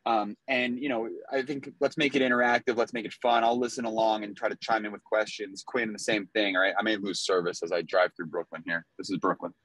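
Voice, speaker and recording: Quick, chatty man hosting a webinar from his car, dry close sound. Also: fairly low pitch, level tone, fairly bright tone, no room sound, rough recording. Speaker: webinar host. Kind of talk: casual introduction. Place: car driving through a city.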